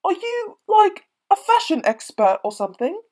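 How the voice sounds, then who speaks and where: A woman's voice: extremely high pitch, very animated, normal speed, dark tone. A woman voicing a snarky, mocking cartoon pig in an amateur cartoon.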